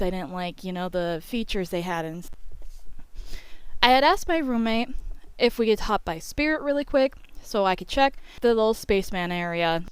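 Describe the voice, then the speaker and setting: A woman's voice: mid-range voice, a bit bright, clean tone, dry recording. A young woman telling a story in a casual, chatty voice-over, peppered with filler phrases like "you know". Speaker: YouTube storyteller.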